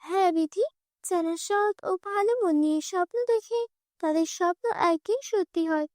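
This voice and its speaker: A kind, wise cartoon fairy, voiced by a woman with a very high voice, calm and reassuring. The sound is dry and close. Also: moderate pace, no room sound. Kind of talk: cartoon dubbing.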